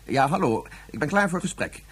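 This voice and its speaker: A man playing an evasive, flustered father in a radio drama, speaking hesitantly and placatingly in a husky voice. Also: a little high, very animated, brisk, full character voice. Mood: distracted. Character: evasive, flustered father absorbed in his work.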